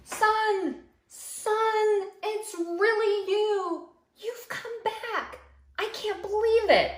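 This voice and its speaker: A woman voicing a joyful, excited father who shouts with glee at his son's return. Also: extremely slow, reverberant, exaggerated voice. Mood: overjoyed. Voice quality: high, shouting, exuberant. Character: joyful excited father, middle-aged man.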